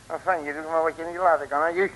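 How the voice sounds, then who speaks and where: A man's voice: on the high side, fairly even intonation, fast talker, lightly acted. An actor playing a cocky, streetwise male detective with a breezy, slangy, mocking manner in a 1950s radio serial. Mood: mocking, defiant. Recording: hissy, noisy old radio recording.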